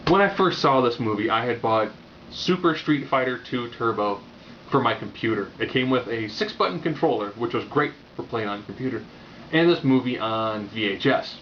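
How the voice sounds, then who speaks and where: A man's voice: mid-range voice, expressive, moderate pace. Man reviewing a movie, muffled noisy recording. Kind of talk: casual opinionated video review. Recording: muffled lo-fi audio with background noise.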